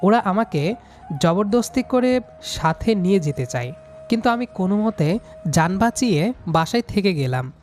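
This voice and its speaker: A man's voice: high-pitched, expressive, normal speed, long pauses. A man narrating a scripted first-person story as the storyteller. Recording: music behind the voice.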